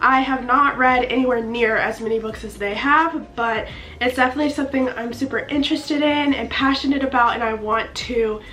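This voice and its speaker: A woman's voice: a little high, fairly even intonation, a little fast, a bit bright, low-quality recording. A nineteen-year-old woman with a congested, stuffy voice, chatting bubbly and gushing in a casual book vlog. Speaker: book vlogger. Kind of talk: casual chat to camera.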